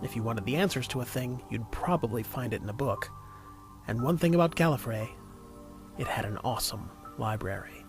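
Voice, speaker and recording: A man's voice: medium pitch, animated, fairly quick. A man reading scripted narration for an audio drama in a storytelling style. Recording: music under the voice. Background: music.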